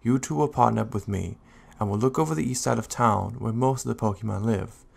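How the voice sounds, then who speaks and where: Man as Raichu town guardian, deep register, heavy creak, calm, responsible, measured delivery, audio drama. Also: full character voice. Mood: earnest, explaining. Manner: measured, explanatory. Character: calm, helpful adult male Raichu guardian, steady and responsible.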